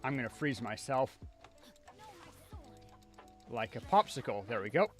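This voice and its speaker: A man's voice: mid-range voice, expressive, measured pace, soft background music. A man giving casual live commentary as he plays a video game, talking half to himself as a streamer. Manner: casual, muttering self-talk while playing.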